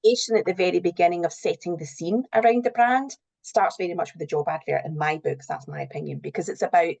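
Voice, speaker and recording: A woman's voice: fairly low pitch, quick pace, vocal fry, a little mellow. A middle-aged woman giving earnest, explanatory answers as a guest in a conversational interview. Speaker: interview guest, recruitment and HR professional.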